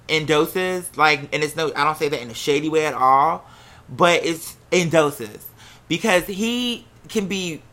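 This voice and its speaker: An American podcast host telling a personal story casually, in an animated, candid and profanity-laced way. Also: medium pitch. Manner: acts out quoted remarks while telling.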